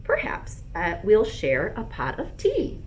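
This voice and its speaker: A woman voicing a gentle, polite old zookeeper man from a children's storybook, with measured, kindly phrasing. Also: mid-range voice, wildly swooping pitch, a little hiss or hum.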